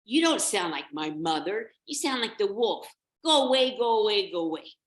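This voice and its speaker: A woman voicing an excitable, trusting little goat kid in a storybook tale, exclaiming eagerly. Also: normal speed, echoey room, natural voice. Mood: indignant. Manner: high, eager, indignant exclamations.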